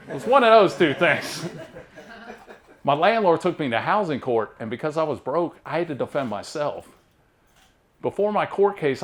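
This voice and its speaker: A man in his thirties from near Baltimore with a Southern-sounding drawl, telling self-deprecating stand-up jokes in a deadpan way. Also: medium pitch. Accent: Southern-sounding American drawl. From Maryland, near Baltimore. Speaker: stand-up comedian. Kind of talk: stand-up comedy set.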